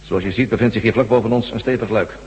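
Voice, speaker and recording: An actor playing a mysterious, commanding armed stranger in a radio drama, terse, evasive and coolly menacing. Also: male voice, on the low side, steady tone, quick pace, breathy edge, muffled recording. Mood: instructing, secretive. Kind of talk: Dutch radio drama acting. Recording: hum and noise, long reverb.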